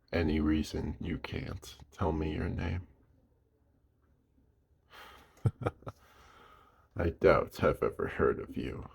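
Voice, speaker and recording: A man voicing a gruff but caring werewolf of the woods in an audio roleplay, speaking gently and protectively to the listener in a gravelly, husky voice. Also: extremely low pitch, exaggerated voice. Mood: sheepish, teasing.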